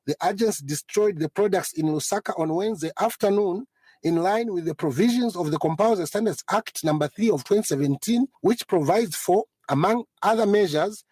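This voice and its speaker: High-voiced, husky man. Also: darkish tone, dry recording. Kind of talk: formal statement read from a script.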